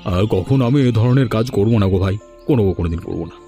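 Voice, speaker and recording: Shifty village headman, mellow voice. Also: fairly low pitch, expressive, fast talker, faint music behind. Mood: flustered, pleading. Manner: stammers when cornered. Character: shifty male village headman, evasive and self-excusing. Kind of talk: Bengali fairy-tale cartoon dubbing.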